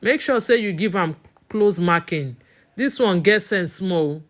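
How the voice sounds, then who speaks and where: A woman's voice: mid-range voice, expressive, a little slow, close to an everyday voice. A woman voicing a deceitful young man in a story, outwardly friendly and glib, with a Nigerian accent. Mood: cold.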